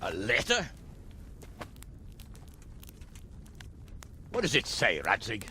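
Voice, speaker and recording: An actor playing a gruff, hot-tempered older lord in a medieval video game, blustering and commanding in a coarse way. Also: male voice, fairly low pitch, wildly swooping pitch, a little fast, sharp tone, slight background noise, exaggerated voice. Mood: grumpy. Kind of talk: video game cutscene acting.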